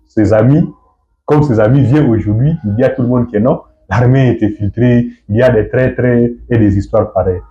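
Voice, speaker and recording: A man from Goma with a Congolese accent, giving halting political answers in an interview, often repeating and rephrasing himself. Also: medium pitch. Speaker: activist guest being interviewed. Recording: dull, muffled lo-fi sound.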